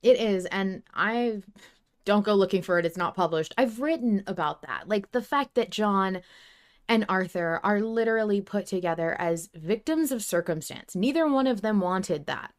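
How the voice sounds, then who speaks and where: A woman's voice: mid-range voice, animated, normal speed. A woman co-hosting a podcast, chatting casually as a fan in an emphatic, gushing, dramatic way. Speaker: podcast co-host.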